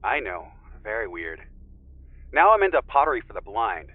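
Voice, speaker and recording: An actor playing a mellowed, gently teasing Zen teacher, a guest heard through a call filter in an audio drama. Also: male voice, on the low side, big pitch swings, normal speed, no echo, narrow, tinny audio. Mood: wry. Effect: remote call filter. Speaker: podcast guest. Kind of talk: audio drama phone interview. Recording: band-limited, radio-like call sound.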